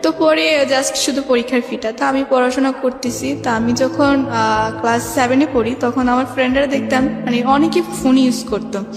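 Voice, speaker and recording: A woman's voice: high-pitched, fairly even intonation, normal speed, few pauses. A young woman with a Bangladeshi accent telling her life story informally as a guest, rambling and often quoting remembered dialogue. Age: young adult. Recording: reverberant hall echo, music behind.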